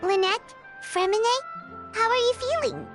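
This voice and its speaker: A woman voicing a tiny, fussy, childlike companion creature in a video game, squeaky and exclamatory. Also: unhurried. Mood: excitable concern. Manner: squeaky, exclamatory, speaks in third person. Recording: loud background music under voice.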